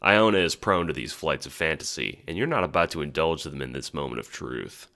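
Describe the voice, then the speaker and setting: A man's voice: very deep voice, animated, a little fast, gravelly, background noise. A man delivering scripted second-person comedic narration as the narrator of an audio drama.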